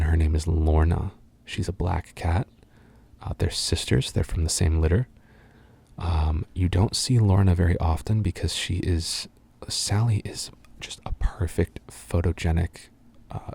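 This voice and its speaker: A young adult man from the United States, talking in a soft, half-whispered way and rambling through fan questions with lots of ums and uhs. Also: low-pitched, vocal fry, slightly rough. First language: English. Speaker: voice actor answering fan questions.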